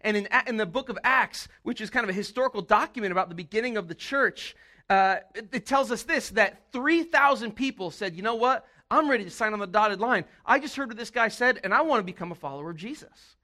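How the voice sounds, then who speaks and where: A male pastor giving a casual, animated sermon with quick asides. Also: high-pitched.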